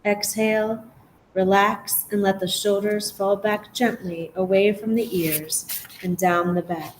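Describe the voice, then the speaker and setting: A woman guiding a relaxation meditation as a yoga nidra teacher, giving gentle, measured instructions with pauses. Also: medium pitch, fairly even intonation, a little hiss or hum, low-quality recording.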